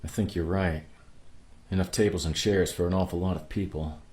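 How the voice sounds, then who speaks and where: A man's voice: deep voice, steady tone, a little fast, a little mellow, lightly acted. A man playing a weary, commanding spaceship captain in a radio play, grave and authoritative. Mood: grave, troubled.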